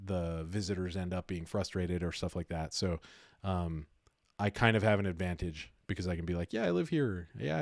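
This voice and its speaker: Man, low-pitched voice, dry close sound, informal livestream host, conversational. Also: darkish tone, dry recording. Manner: informal, conversational, thinking aloud. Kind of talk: casual livestream chat about investing.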